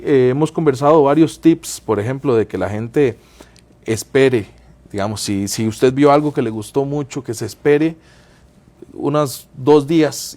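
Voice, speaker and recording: Male guest, financial advisor, measured explainer. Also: mid-range voice, darkish tone. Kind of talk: interview answers.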